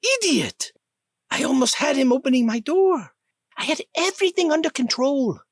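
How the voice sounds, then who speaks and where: A man voicing a confident, sharp-tongued young woman in an audiobook, with a clipped British accent. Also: very high-pitched, expressive, moderate pace, dry recording, studio quality, pitched above the performer's own voice. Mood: irritated. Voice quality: clipped, bossy.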